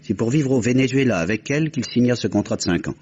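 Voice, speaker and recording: An actor playing a composed detective, measured and articulate as he explains, in an old French radio crime drama. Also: mid-range voice, lively intonation, a little mellow, breathy edge. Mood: composed, explanatory. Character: composed, methodical detective recounting a solved murder. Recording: muffled old radio recording, faint noise.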